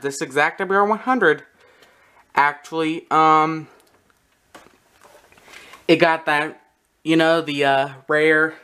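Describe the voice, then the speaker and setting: A person giving a casual, halting, matter-of-fact show-and-tell narration, recorded on a handheld camera in a room at home. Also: medium pitch, sharp tone, smooth. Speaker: video creator showing a radio collection. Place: small room at home, indoors. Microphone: handheld camera close by.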